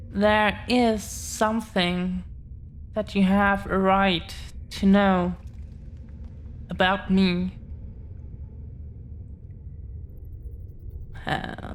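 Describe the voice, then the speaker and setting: A woman's voice: mid-range voice, a little hiss or hum. A woman playing a nervous, protective dragon-blooded girlfriend in an intimate audio roleplay, speaking hesitantly, earnestly and tenderly. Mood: hesitant confession.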